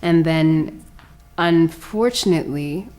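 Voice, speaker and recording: A woman's voice: sits low, slow, a little mellow. A woman speaking as an actor guest, reflecting in an interview. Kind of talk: reflective post-show interview.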